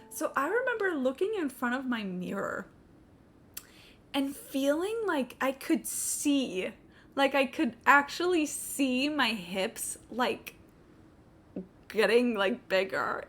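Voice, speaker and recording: Female game streamer: bright, very lively, off-the-cuff rambling, slangy, teasing sarcasm. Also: on the high side. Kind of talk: casual livestream chat while gaming.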